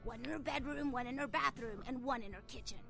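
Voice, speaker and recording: A vain vampire starlet from a video game, high-voiced with a gravelly creak. She sounds bitter, haughty and used to giving orders. Music plays clearly behind her. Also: fast talker. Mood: scheming, commanding. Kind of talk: video game character acting.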